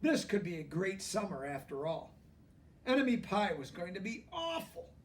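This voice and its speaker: A man's voice: high-pitched, big pitch swings, normal speed, distant mic, slight background noise. A man reads a children's picture book aloud expressively, explaining things simply for young listeners. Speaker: storybook reader.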